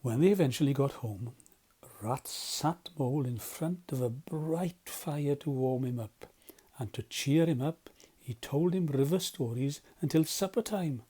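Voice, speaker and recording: A man's voice: mid-range voice, a little slow, warm tone, husky. A man narrating a children's storybook as a volunteer storyteller.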